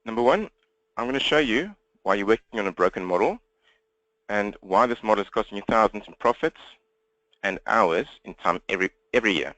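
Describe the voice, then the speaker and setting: A man with a South African accent presenting an interactive webinar, talking fast in a direct way and engaging listeners with questions. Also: on the low side, expressive, fairly bright tone, no echo, dull audio. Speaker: webinar presenter and coach for accountants. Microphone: computer webinar microphone, presenter standing.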